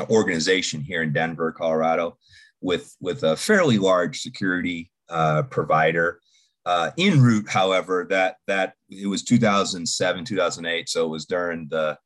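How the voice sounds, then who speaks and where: Very low-voiced man in his 40s from Boston, halting podcast guest who often restarts his sentences. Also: fairly bright tone. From Boston, United States. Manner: halting, restarts and repeats words. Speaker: podcast guest, security executive. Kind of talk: casual interview talk.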